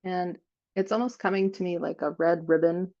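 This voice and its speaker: A woman with a lowish, noticeably creaky voice leads a guided meditation. She speaks gently in unhurried phrases, leaving frequent pauses as she improvises the visualization. The recording is somewhat dull and lo-fi. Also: fairly even intonation.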